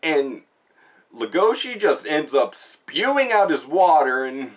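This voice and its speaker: A man's voice: fairly high pitch, big pitch swings, slow pace. A man giving a casual spoken review, retelling a story in informal, slangy language. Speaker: manga reviewer, host. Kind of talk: casual spoken book review with plot recap.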